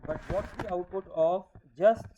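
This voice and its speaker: A man's voice: high voice, moderate pace, muffled recording, noisy background. A man in his thirties teaching a programming class, explaining step by step and often checking in with "okay?". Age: 30s. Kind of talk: screen-recorded coding lecture.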